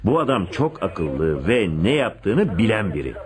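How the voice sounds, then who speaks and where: An actor playing a calm, methodical police inspector in a Turkish radio play. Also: male voice, on the low side, measured pace, crowd noise. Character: calm, methodical male police inspector on a missing-person case. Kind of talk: Turkish radio theatre acting.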